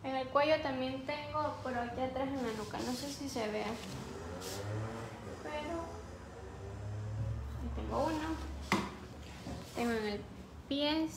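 A woman's voice: high-pitched, unhurried, gravelly, long pauses, noisy background. Chatty young woman from Ecuador. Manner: chatty, reads out viewer names and answers them. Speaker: livestream host. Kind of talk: casual livestream chat with viewers. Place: small room indoors. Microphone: phone on a ring light stand.

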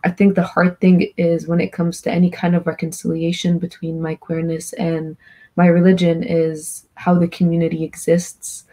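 A young woman from Egypt speaks in a low, mellow voice with a flat, even delivery. She talks earnestly and reflectively as a panelist. It is recorded on a laptop webcam in a small room. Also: moderate pace. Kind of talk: panel discussion on video call. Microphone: laptop webcam video call.

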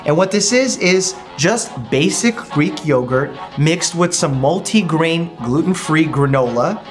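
A man's voice: a little high, lively intonation, unhurried, music in the background. A young man in his twenties, a doctor hosting a casual food vlog from his home kitchen, explaining health benefits in an upbeat, easy way. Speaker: doctor and channel host.

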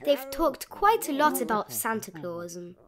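High-voiced woman reading a rhyming storybook. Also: very animated, measured pace, a crowd in the background. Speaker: storybook reader. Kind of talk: read-aloud of a rhyming children's picture book.

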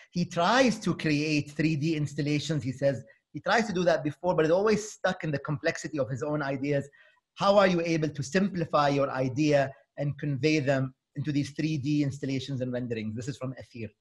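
A man's voice: fairly high pitch, brisk. A middle-aged man moderating a panel on a video call, politely reading out audience questions. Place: home study with bookshelves.

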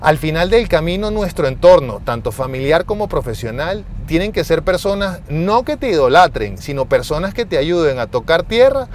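A man in his forties with a slightly high voice speaks in a conversational, emphatic way, like an interview guest making his case. He is recorded inside a car, with faint traffic and engine noise behind him. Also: sing-song, moderate pace, hardly any pauses. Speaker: interview guest, financial executive. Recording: faint vehicle and street noise.

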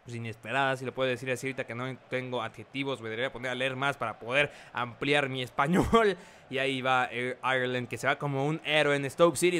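A young man giving chatty play-by-play commentary over his football video game career, joking at his own slips and shouting at the goals. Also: mid-range voice. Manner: fast, chatty, jokes at himself, bursts of shouting at goals. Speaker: gameplay commentator.

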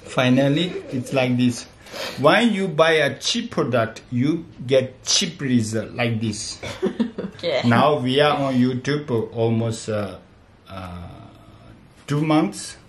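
A man in his thirties from Tibet, speaking as a non-native with a Tibetan accent, chatting casually and haltingly as a family vlogger and repeating himself. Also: medium pitch, lively intonation. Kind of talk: casual vlog chat. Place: small bright room at home. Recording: echoey room, faint background noise.

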